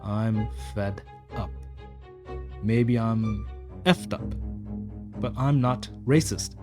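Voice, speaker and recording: A man's voice: on the low side, very slow, music in the background. A man playing a blunt, defensive, fed-up security guard rambling through a confession in an audio story monologue. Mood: exasperated.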